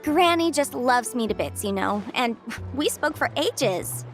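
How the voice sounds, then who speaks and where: A woman's voice: high-pitched, expressive. A woman voicing a chatty young female legal consultant with adeptal blood and small horns, in video game dialogue. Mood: flustered. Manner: brisk, chatty, flustered when dodging questions. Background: game music.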